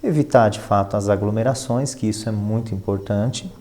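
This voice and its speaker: Male interview guest, lowish pitch, dark relaxed tone, formal measured delivery with halting phrases. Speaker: finance professional and professor, interview guest. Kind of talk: conversational interview answers.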